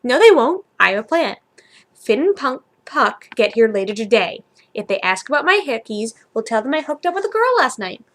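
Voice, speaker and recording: A woman's voice: fairly high pitch, very animated, normal speed, a bit bright. Woman reading as a defensive, dim young man. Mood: confident scheming. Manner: hesitant, rambling excuses.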